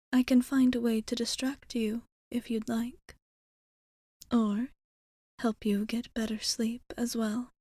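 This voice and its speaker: A woman voicing a gentle, devoted ancient vampire in an ASMR roleplay, speaking formally and tenderly in a soft, breathy voice that often drops to a whisper. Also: fairly high pitch, no room sound, clean studio sound. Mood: gentle, careful. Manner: formal, hesitant, tender phrasing.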